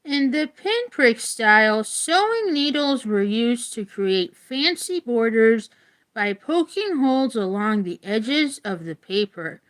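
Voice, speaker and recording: A woman's voice: fairly high pitch, sing-song, takes it slowly, a bit bright, poor audio. A woman reading a children's nonfiction book aloud like an educator, adding informal, casual asides.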